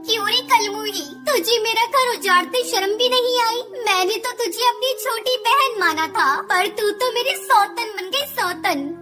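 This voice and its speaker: A woman's voice: very high-pitched, measured pace, few pauses, music in the background. A woman voicing a plain-spoken, emotional housewife bird in a cartoon, with a plaintive, dramatic manner. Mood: indignant. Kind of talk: Hindi cartoon dialogue acting.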